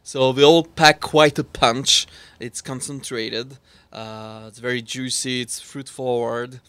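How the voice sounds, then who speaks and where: A man with a Swiss French accent, explaining wine in casual chat, halting with many fillers and restarts. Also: medium pitch, steady tone, fairly bright tone, smooth, dry recording. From French-speaking Switzerland. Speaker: wine expert.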